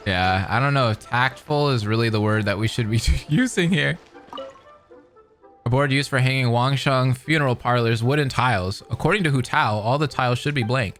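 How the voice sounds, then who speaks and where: A man's voice: mid-range voice, normal speed, fairly bright tone. A man commentating casually on a game story, making sarcastic asides and reading text aloud. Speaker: streamer reacting to a game. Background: game music.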